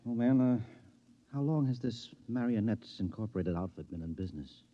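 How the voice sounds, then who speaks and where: Very deep, hesitant henpecked husband. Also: male voice, animated, dry recording. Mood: wheedling. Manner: halting, fussy, deferential. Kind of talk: 1950s radio drama acting. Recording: dull old 1950s radio recording.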